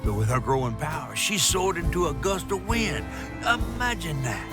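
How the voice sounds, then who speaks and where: A man's voice: a little high, expressive, normal speed, loud music behind the voice, natural voice. An actor playing a folksy male storyteller, dramatically narrating a folktale for a video game. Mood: suspenseful, folksy.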